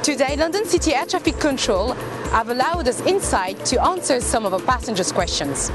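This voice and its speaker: Lively-pitched woman reporter over music. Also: brisk. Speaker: reporter and interviewer. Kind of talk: scripted presenting and interview questions. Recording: music clearly audible under voice.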